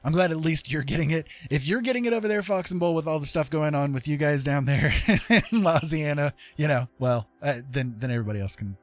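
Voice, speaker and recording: A man's voice: fairly high pitch, a little fast, no room sound. A man chatting casually with livestream viewers in a rambling, conversational way. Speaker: livestream host. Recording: faint music behind the voice. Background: music.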